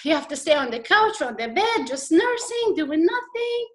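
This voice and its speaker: A woman's voice: very high voice, very animated, moderate pace. A woman with an Iraqi accent telling her personal story as a guest on a video call, hesitant and slightly nervous, with many fillers. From Iraq.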